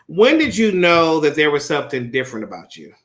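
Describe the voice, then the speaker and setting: This man in his late thirties has a high, bright voice. He runs a casual interview in an animated, chatty way, laughing easily and filling gaps with "like" and "you know". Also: a little fast. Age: 30s. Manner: animated, laughs often, lots of fillers. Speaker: host.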